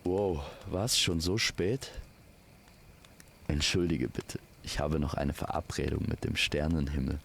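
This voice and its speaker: A man's voice: very deep voice, measured pace, vocal fry, fairly bright tone, a little hiss or hum. A man narrating a scripted fairy tale, speaking intimately to the listener as to a friend. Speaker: storyteller.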